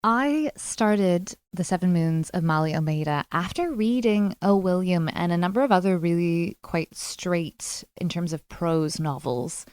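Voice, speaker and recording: A woman's voice: fairly low pitch, lively intonation, unhurried, hardly any pauses, darkish tone, smooth, no room sound. A woman co-hosting a podcast, chatting casually and frankly about books with strong opinions. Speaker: book club podcast co-host. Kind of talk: casual book chat.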